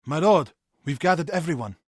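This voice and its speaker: A man playing a devout, grieving warrior monk in a video game, earnest and intense, with a husky voice. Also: a little high, animated, fairly quick, dry recording, studio quality. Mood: urgent, commanding. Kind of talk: dramatic video game acting.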